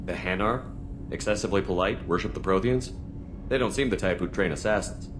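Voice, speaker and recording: An actor playing a calm, principled military commander in a video game, speaking plainly and directly. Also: male voice, low voice, a little fast, lightly acted. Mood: probing. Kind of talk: video game character dialogue. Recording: noticeable background ambient noise.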